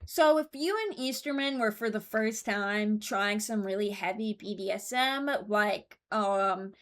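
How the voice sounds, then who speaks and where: A woman's voice: medium pitch, measured pace, fairly bright tone. A woman hosting a video, giving casual, rambling commentary and hesitating as she thinks aloud. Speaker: video creator and host.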